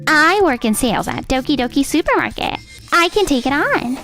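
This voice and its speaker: A woman playing a haughty, snobbish younger sister in an expressive manga dub, with a dismissive, theatrical delivery. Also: high voice, brisk, faint music behind, heavily acted. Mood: smug.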